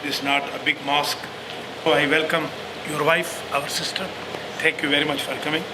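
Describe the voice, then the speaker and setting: A man's voice: on the high side, level tone, a little fast, background noise. A man welcoming guests to a small mosque in formal, courteous, slightly broken English. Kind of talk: welcoming speech.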